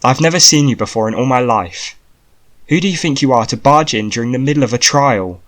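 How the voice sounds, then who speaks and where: A man's voice: mid-range voice, a little fast, a bit bright, close to an everyday voice. A man voicing a stern, indignant female judge, formal and brusque, in an audiobook drama.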